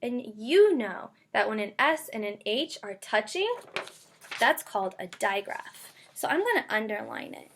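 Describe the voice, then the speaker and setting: A woman's voice: on the high side, sing-song, a bit bright. A woman speaking as an elementary school teacher to young children, guiding them through a read-aloud phonics lesson with questions, repetition and carefully sounded-out words. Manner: stretches out and sounds out words slowly.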